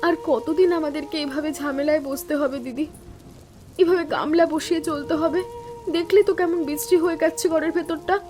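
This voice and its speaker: A woman playing a young, caring but anxious city-bred younger daughter-in-law in an animated family story, expressive and full of questions. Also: high voice, brisk, lightly acted. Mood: alarmed, complaining.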